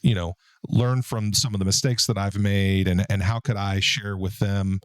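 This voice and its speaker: A man in his forties with a deep baritone voice, hosting a casual podcast and telling stories in a relaxed way. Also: moderate pace, smooth, no echo, clean studio sound. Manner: relaxed, storytelling, frequent fillers. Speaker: podcast host and business owner. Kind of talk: casual conversational podcast talk.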